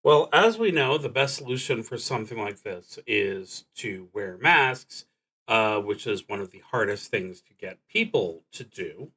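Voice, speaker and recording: A man's voice: fairly low pitch, expressive, sharp tone, husky. A man streaming a solo tabletop roleplaying game, thinking aloud in a hesitant, musing, unscripted way. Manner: hesitant, musing, halting delivery.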